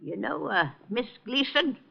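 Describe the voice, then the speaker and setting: A man's voice: very high-pitched, lively intonation, a little slow, gravelly, husky, heavily acted. An actor playing a cranky, indignant male rooming-house keeper in 1950s radio drama, with folksy, grumbling, uneducated speech. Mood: grudging, irritated. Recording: muffled 1950 radio broadcast recording.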